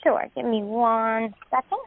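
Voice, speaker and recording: A woman with a fairly high voice speaks over a narrow telephone line with no room sound. She is a salon receptionist giving polite customer service. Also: steady tone, measured pace, no room sound. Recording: dry, narrow phone line.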